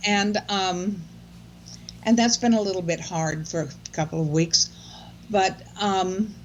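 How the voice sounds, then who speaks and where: A woman's voice: mid-range voice, steady tone, unhurried, highs cut off, slight background noise. An older woman as a guest in a casual chat, speaking in a thoughtful, reflective way.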